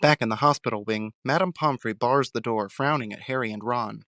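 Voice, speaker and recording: A man narrates an audio drama in a medium-pitched voice. The delivery is scripted storytelling, recorded close and dry without room sound. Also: fairly quick, a bit bright, no echo.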